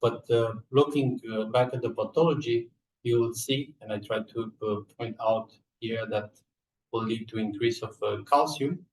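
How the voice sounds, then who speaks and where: A man with a Romanian accent giving a scientific slide presentation in a halting, hesitant way with frequent filled pauses. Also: mid-range voice, a little mellow, slight background noise. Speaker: research scientist and lab coordinator presenting. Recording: echoey room, distant microphone.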